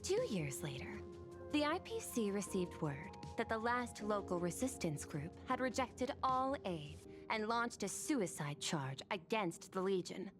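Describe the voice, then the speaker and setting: A woman's voice: medium pitch, sing-song, a little slow. A woman voicing a formal, analytical aide in a video game, precise and deferential as she reports. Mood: businesslike. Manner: precise, deferential, matter-of-fact. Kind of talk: scripted video game dialogue. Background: prominent music.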